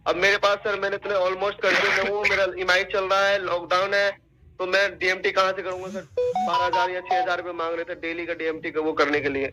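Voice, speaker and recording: A man on a recorded phone call making a complaint, recounting his account in a deferential, repetitive, halting way. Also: sits high, flat delivery, loud music behind the voice.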